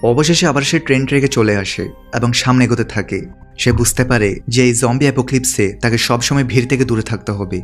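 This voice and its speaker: A man's voice: medium pitch, quick pace. A man delivering scripted narration as the narrator of a movie recap. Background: background music.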